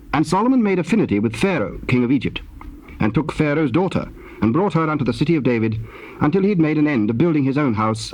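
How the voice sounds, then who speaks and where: A man's voice: mid-range voice, a little mellow, low-quality recording. A man narrating scripture as a formal storyteller, in a solemn scripted reading.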